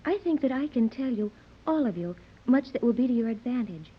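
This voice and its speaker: A woman's voice: on the high side, expressive, fairly quick, slightly rough, muffled recording. A woman playing an earnest, precocious fifteen-year-old girl who speaks in formal, bookish phrases, in 1930s radio serial style. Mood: grave. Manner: formal, bookish, precise wording.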